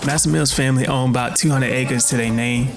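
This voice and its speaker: A man's voice: mid-range voice, fairly even intonation, a little fast, close to an everyday voice. A man playing an enslaved young man with a Southern US accent, telling of plantation life in a plainspoken, weary, folksy dialect in a dramatic narration. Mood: reflective. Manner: folksy, storytelling. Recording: music under the voice.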